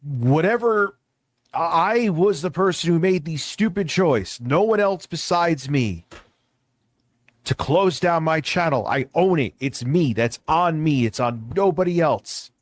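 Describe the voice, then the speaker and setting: A man's voice: a little high, animated, unhurried, no room sound. A man talking casually off the cuff as an online creator, blunt, candid and prone to swearing. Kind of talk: casual unscripted monologue.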